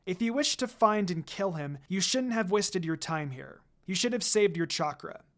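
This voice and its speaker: A young ninja woman from an adventure story, voiced by a narrator. She is confident and teasing, with a smug, playful edge and very lively intonation. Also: mid-range voice, moderate pace, natural voice. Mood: smug, taunting.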